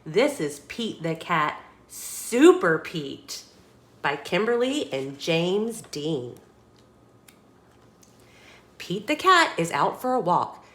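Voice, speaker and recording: Slow, lively woman reading a children's storybook. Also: fairly low pitch. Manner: expressive, dramatic delivery with questions to young listeners. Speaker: children's storytime host and reader. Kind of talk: animated read-aloud of a children's picture book.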